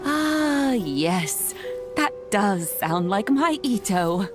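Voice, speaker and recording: An actress voicing a kindly elderly grandmother in a game, unhurried, fond and reassuring, proud of the young man she raised. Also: mid-range voice, big pitch swings, music in the background. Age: older. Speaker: village elder caring for children. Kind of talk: game cutscene voice acting.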